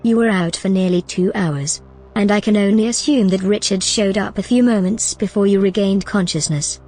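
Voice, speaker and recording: A composed, helpful station AI woman, as an actress plays her, speaking in a middle-pitched, even and precise way. Soft music sits under the voice in this radio drama. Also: moderate pace, natural voice. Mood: calm. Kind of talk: audio drama acting.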